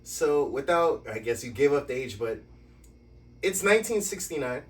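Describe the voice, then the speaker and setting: A man's voice: medium pitch, normal speed. Guy hosting a podcast in his basement, playful, sounds kinda far from the mic. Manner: lively, playful introductions. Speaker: podcast host. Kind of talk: podcast interview. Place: basement home studio.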